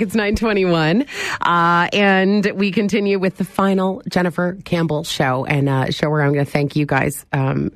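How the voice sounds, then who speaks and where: A middle-aged woman hosting a morning radio talk show, chatty and animated as she talks straight to her listeners. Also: sits low. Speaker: radio host. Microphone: studio microphone.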